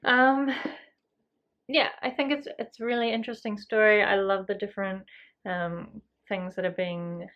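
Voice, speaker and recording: A woman's voice: medium pitch, fairly even intonation, normal speed. A woman chatting casually to camera as a book vlogger, rambling along with self-deprecating, trailing asides. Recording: slightly dull lo-fi home recording.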